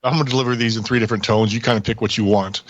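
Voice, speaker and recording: A man's voice: lowish voice, steady tone, racing, lo-fi sound. A man chatting casually as a voice actor guest on a podcast. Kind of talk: casual chat.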